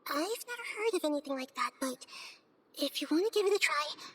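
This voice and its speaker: High, gentle little goddess. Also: female voice, lively intonation. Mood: composed. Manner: measured, explanatory, precise. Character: small gentle child goddess, wise and composed. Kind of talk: anime-style game dialogue. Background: background music.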